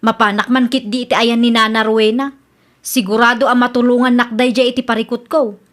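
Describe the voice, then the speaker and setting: A woman's voice: fairly high pitch, level tone, brisk, fairly bright tone, lightly acted. A woman playing a proud, fretful married woman in a comedy radio drama, with an Ilocano accent. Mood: suspicious, fretful.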